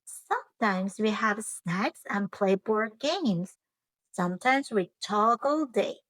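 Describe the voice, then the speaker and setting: A woman reading a children's storybook aloud as host, with expressive, drawn-out phrasing. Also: medium pitch, no echo, rough recording.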